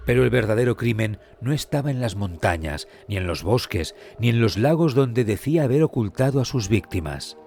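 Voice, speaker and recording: A man delivering scripted true crime narration in a solemn, measured, suspenseful manner. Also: medium pitch, lively intonation, creaky, darkish tone. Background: music.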